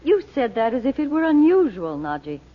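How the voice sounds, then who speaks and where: Radio drama mother: earnest, worried, gently scolding, slightly high animated voice, muffled old recording. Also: fairly quick. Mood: concerned. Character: Dorothy, concerned, restraining mother. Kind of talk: old radio serial acting.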